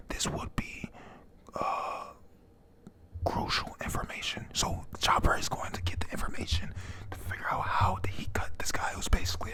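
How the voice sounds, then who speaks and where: A person whispering a casual, halting, slangy retelling of a manga chapter, panel by panel, reading out the characters' lines. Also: mid-range voice, a bit bright. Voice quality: breathy unvoiced whisper. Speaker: manga reader narrating.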